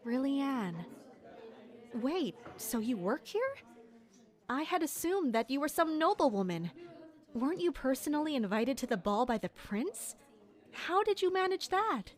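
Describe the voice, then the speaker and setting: Chatty, high-voiced young noblewoman, played by a woman. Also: big pitch swings, a little slow. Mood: excited, curious. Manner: chatty, inquisitive, candid. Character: friendly, chatty young noblewoman, betrothed, curious and candid. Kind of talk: audio drama dialogue.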